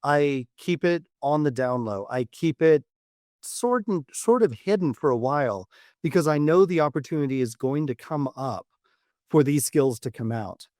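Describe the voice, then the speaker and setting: A man speaking as a dating coach and podcast host, giving instructional advice in deliberate, measured phrasing with repeated words. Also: on the high side, animated, a little mellow, no room sound, clean studio sound. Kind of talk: instructional podcast monologue to camera.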